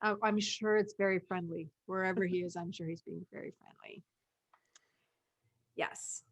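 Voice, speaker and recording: A woman's voice: on the low side, fairly even intonation, moderate pace. A woman hosting a casual cast discussion, with a joking, teasing way of talking. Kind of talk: casual chat.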